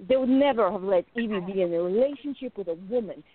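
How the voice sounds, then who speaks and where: A woman playing a jealous, besotted young woman confiding in her diary in a radio play, intimate, expressive and musing. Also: medium pitch, quick pace, a little hiss or hum, close to an everyday voice. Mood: tender, uneasy. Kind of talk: dramatic first-person diary narration in a radio play. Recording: narrow phone-like lo-fi sound.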